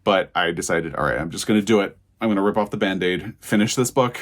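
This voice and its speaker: A man's voice: sits low, fairly quick. A man talking casually and candidly to viewers as a book reviewer, stressing his opinions as he goes. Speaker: book reviewer and video host.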